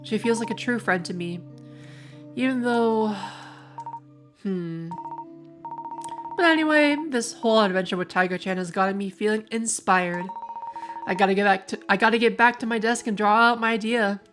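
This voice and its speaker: Raspy young woman streamer. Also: mid-range voice, moderate pace, pauses often, over music. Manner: chatty, rambling, filler-heavy. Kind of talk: casual live-stream gaming chat. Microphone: desk microphone.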